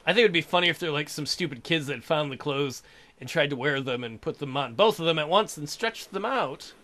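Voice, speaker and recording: A man's voice: a little high, expressive, a little fast, sharp tone. A man hosting a let's play, reading a visual novel aloud with crude, irreverent joking asides.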